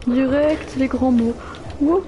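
A woman's voice: sits high, steady tone, slow, dark tone, lo-fi sound. A nineteen-year-old woman, chatting playfully with viewers while playing a game live, flustered and teasing. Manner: playful, bantering, easily flustered. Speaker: streamer. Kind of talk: live gameplay chat with viewers.

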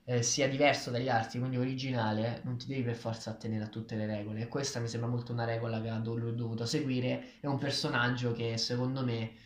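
A man's voice: medium pitch, little pitch movement, quick pace, few pauses. A man in his twenties giving opinions casually and thinking aloud, picked up by a camera across a kitchen table. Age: 20s. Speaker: book reviewer. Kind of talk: casual discussion of a graphic novel. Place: small tiled kitchen, indoors. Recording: echoey room, camera at a distance.

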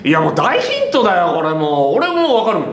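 A man with a very high, swooping voice hosts a playful quiz segment. He teases excitedly and repeats himself, and the room echoes around him on a rough web stream. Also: fast, a little hiss or hum. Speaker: quiz presenter on a live web show. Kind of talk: playful unscripted banter. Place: dim indoor studio, close to the camera. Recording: roomy echo, rough web-stream audio.

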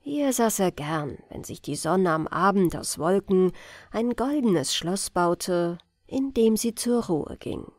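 Slow, gentle woman reading a bedtime fairy tale. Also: mid-range voice, no room sound, clean studio sound. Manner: calm, gentle, unhurried storytelling. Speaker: audiobook reader.

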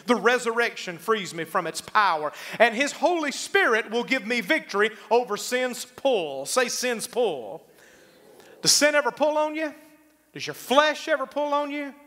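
A middle-aged man preaching a sermon as a pastor, emphatic, slowing on key phrases to drive them home. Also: high-pitched, sharp tone. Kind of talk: sermon with congregational call-and-response. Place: church sanctuary stage, indoors.

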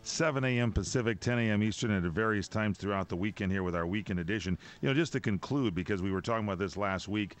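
Guy hosting talk radio, studio mic, lowish voice, super effusive, rambles a bit. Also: quick pace, few pauses. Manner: enthusiastic, effusive, rambling questions. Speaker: radio talk show host. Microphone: studio mic with headphones.

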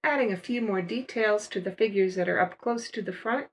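Relaxed older woman explaining a painting. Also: mid-range voice, low-quality recording. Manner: relaxed, unhurried step-by-step explaining. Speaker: watercolor art teacher. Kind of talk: calm voice-over narration of a painting demo.

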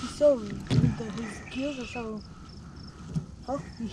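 A woman's voice: a little high, very slow. A young woman chatting in short offhand remarks while fishing from a small boat, recorded on an action camera. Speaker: fishing companion. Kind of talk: casual chat. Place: small boat on a river, outdoors. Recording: action camera with loud wind and water noise.

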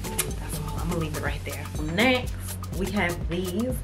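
A woman's voice: fairly low pitch, lively intonation, unhurried, over loud music. A young woman chatting emphatically to her viewers in a casual try-on haul, calling them "y'all". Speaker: fashion vlogger. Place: indoors in front of a painted wood backdrop.

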